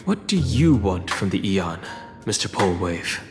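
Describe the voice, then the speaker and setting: A man voicing a calm, courteous mercenary in a video game, with formal, measured speech. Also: deep voice, heavily acted. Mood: polite, reserved. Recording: long reverb, music behind. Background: game background music.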